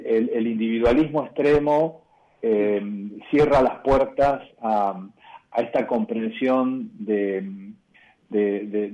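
A man with an Argentine accent, a bishop answering questions in a radio interview, measured and reflective, often pausing and restarting. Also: mid-range voice, lo-fi sound. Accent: Argentine Spanish.

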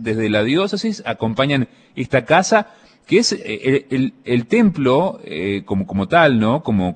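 Hesitant radio host. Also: male voice, mid-range voice, husky. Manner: halting, many "eh" and restarts. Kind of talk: radio interview.

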